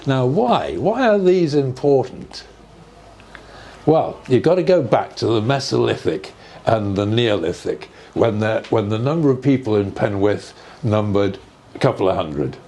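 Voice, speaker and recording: An older man giving an informal, measured explanation in conversation, often checking with rhetorical questions. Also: mid-range voice, big pitch swings, warm tone. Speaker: speaker on earth energies and ancient sites. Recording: noticeable background noise.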